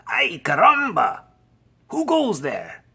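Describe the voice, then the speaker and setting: A bold little storybook kitten acted out by a narrator pretending to be a Chihuahua bandit. The mock Spanish accent is theatrical and boastful, drawn out very slowly with huge singsong swings in pitch. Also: very animated, sharp tone, breathy edge, heavily acted.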